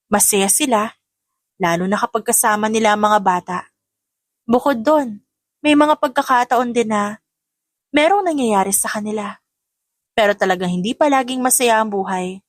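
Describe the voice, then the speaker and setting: A woman's voice: mid-range voice, dry recording. A woman reading scripted audiobook narration of a story, recorded close. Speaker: narrator and voice actor.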